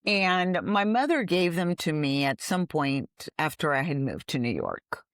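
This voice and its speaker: Woman, podcast co-host, slow deliberate delivery, expressive pitch, dry close sound. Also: no echo. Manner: unhurried, emphatic, philosophical. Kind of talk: reflective conversational chat.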